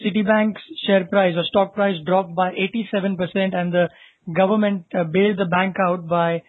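Through a thin, telephone-like recording comes a high-voiced man. He talks as a podcast co-host going over business news in a conversational way, putting rhetorical questions along the way. The sound is dry and close, with no room to it. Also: level tone, moderate pace, no room sound. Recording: narrow, phone-like lo-fi sound.

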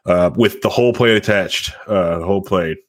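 A man with a husky voice hosting a casual podcast, bantering in a loose, jokey way and repeating words for emphasis. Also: deep voice, normal speed. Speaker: podcast host.

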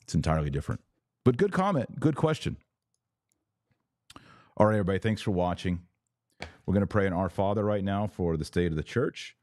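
A man hosting a podcast of religious commentary, speaking in an emphatic, didactic way with deliberate phrasing. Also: low voice, animated, darkish tone, dry recording, studio quality. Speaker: podcast host.

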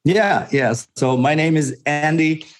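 A man's voice: on the high side, takes it slowly. A man in his forties who grew up in Germany, a pastor telling his story as an interview guest, earnest and restarting often as he recalls things. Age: 40s.

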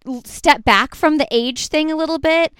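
A woman's voice: sits high, normal speed, fairly bright tone, dry recording, studio quality. Chatty 37-year-old woman podcast host. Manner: chatty, asks questions. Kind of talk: casual interview chat.

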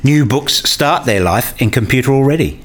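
A man acts out an eager, opinionated debater in a radio play. He speaks at an average male pitch and lays out his arguments in scripted, explanatory dialogue. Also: expressive, normal speed, natural voice. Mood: earnest, argumentative. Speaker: radio play character in discussion.

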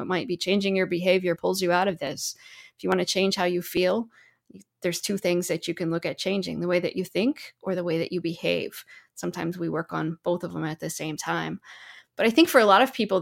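A woman's voice: fairly low pitch, vocal fry. A woman speaking as a therapist guest in an interview, explaining advice conversationally and illustrating it with example quotes.

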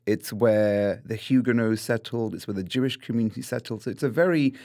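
Man, 30s, reflective interview guest, deep-ish voice, dry close mic. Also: fairly quick, dry recording. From London, England. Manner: thoughtful, restarts and repeats words, shifts between lively and hushed. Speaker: podcast guest.

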